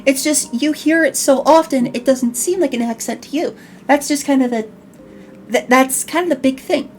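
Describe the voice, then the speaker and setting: A woman's voice: high-pitched, normal speed, slight background noise. A woman host with a slight Chicago accent, chatting animatedly on an online talk show, often restarting her words. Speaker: podcast host. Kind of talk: casual online chat show.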